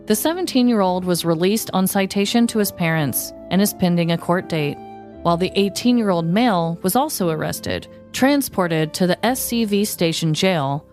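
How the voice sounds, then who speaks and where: Lowish-voiced woman, wry scripted storyteller. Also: darkish tone. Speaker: podcast host. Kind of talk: scripted comic true-crime storytelling.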